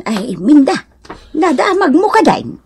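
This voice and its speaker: A gossipy older village woman of radio comedy drama with an Ilocano accent. She nags and bickers in a high voice, drawing out her words slowly and with animated swings. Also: a little hiss or hum, full character voice. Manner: nagging, gossipy.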